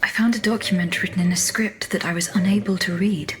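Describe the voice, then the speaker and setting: A woman voicing a courteous, coolly determined automaton in a video game, speaking in formal, measured lines. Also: mid-range voice, fairly even intonation, slight background noise, close to an everyday voice. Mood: calm inquiry. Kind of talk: scripted video game cutscene dialogue.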